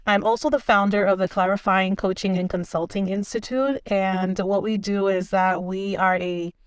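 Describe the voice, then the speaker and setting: A woman's voice: medium pitch, steady tone, normal speed, clear, resonant tone, no room sound. A woman from the Dominican Republic, a leadership coach and podcast guest, talking enthusiastically and laughing often. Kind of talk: casual interview chat.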